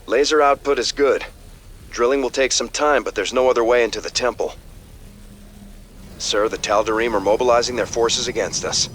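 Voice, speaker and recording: A man's voice: medium pitch, moderate pace, fairly bright tone, a little hiss or hum, natural voice, low-quality recording. An actor playing an earnest military officer in a video game, reporting to his commander in a formal, businesslike manner.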